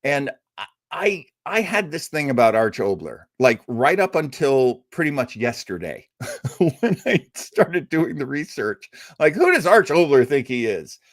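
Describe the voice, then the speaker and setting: A man's voice: on the high side, big pitch swings, a little slow, dry recording, studio quality. A man hosting an old-time radio show, chatting casually and enthusiastically with emphatic, rambling asides.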